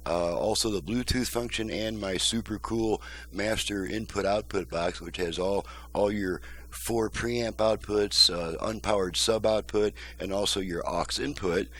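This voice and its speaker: A man's voice: lowish voice, measured pace, fairly bright tone. A middle-aged or older man giving a casual, methodical step-by-step demonstration as a repair technician. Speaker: car radio repair technician and presenter. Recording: noisy home recording with hum.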